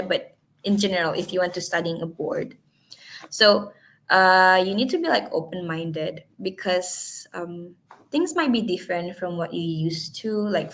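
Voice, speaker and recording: A woman's voice: mid-range voice, fairly bright tone. A young Indonesian woman, hesitant speech. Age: young adult. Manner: hesitant, many fillers and restarts. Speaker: guest speaker. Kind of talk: webinar talk.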